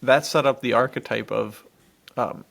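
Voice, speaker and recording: Excitable man with a creaky voice. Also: mid-range voice, normal speed. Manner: excitable, slangy, laughs often. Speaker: podcast co-host. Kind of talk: casual enthusiastic chat about adventure fiction.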